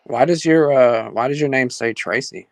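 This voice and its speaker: A man's voice: mid-range voice, moderate pace, creaky, no room sound, studio quality. A man chatting casually on a livestream panel in an informal, teasing way. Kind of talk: casual chat.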